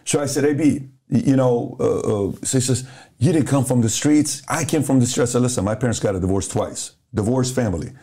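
A man's voice: mid-range voice, brisk. A middle-aged man from Iran, a podcast guest, telling stories in casual talk and acting out the dialogue. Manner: animated storyteller who acts out dialogue.

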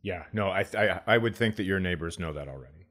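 A man's voice: deep voice, lively intonation, fast, fairly bright tone. Sarcastic man hosting a comedy podcast. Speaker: podcast host. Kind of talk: casual comedic chat.

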